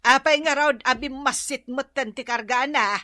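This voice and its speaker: A woman's voice: high-pitched, measured pace, bright, forceful tone, dry recording. A woman with an Ilocano accent playing a gossipy, nagging middle-aged wife in a comedy radio drama. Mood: scolding. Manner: exclamatory, scolding and chatty.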